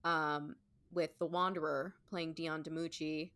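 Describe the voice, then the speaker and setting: A woman's voice: sits low, level tone, measured pace, a bit bright. A woman co-hosting a podcast in casual chat, conversational and loose, often saying "like" and "you know". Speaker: podcast co-host, stage performer.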